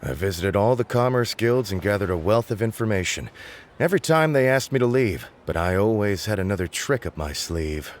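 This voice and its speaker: A man's voice: lowish voice, lively intonation, fairly quick, a little hiss or hum, close to an everyday voice. A man playing a cocky, boastful young informant in a video game, with a smug, theatrical delivery. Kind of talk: video game character dialogue.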